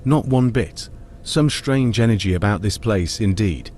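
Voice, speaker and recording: Lowish-voiced man narrating a dramatised audiobook. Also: expressive, a little slow, a little mellow, a little hiss or hum. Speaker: narrator and lead character.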